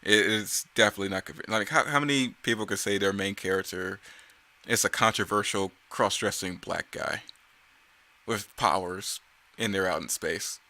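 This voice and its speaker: A man's voice: lowish voice, moderate pace, bright, forceful tone. A man giving casual, off-the-cuff creator commentary in a rambling, self-correcting way. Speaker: creator giving commentary on own motion comic series.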